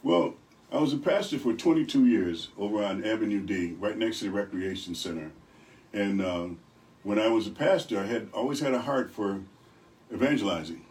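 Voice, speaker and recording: An older man from Rochester, New York with a deep voice, speaking as an evangelist guest in a radio studio, telling stories with good humor. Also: normal speed, darkish tone. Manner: good-humored, rambling storyteller. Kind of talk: radio interview. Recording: distant from the mic.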